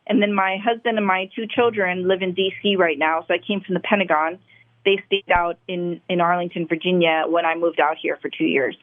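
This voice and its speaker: A woman's voice: fairly low pitch, fairly even intonation, fairly quick. Woman guest on a tinny phone line. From Hawaii. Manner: brief, friendly answers. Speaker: guest, military officer. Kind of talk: casual radio interview.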